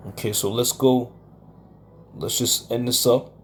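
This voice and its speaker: Brief: male Bible teacher, slow and deliberate, combative and scolding, mid pitch, faint room noise. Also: steady tone. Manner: combative, scolds listeners, keeps asking "okay?"; slow and deliberate, some coarse language. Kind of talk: home Bible study lecture.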